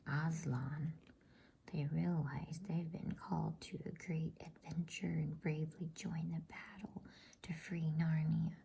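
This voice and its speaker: A woman whispers softly and breathily in a gentle, informal, unhurried way, hosting an ASMR bedtime reading. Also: deep voice, level tone, vocal fry, a little hiss or hum. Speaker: bedtime story reader and host.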